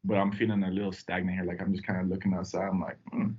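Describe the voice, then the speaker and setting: A young man from Tanzania, a podcast guest, telling his story in a relaxed, rambling way with lots of fillers. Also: deep voice, fast, breathy edge, muffled recording. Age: young adult. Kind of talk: casual podcast chat.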